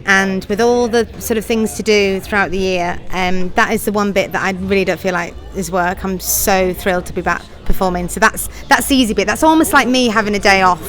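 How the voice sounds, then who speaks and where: A middle-aged woman talks in a chatty, effusive way, quick to laugh as she answers questions. Her pitch sits in an ordinary middle range. Faint music plays in the background. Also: fairly bright tone. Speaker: pantomime writer, director and actor. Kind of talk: interview answers.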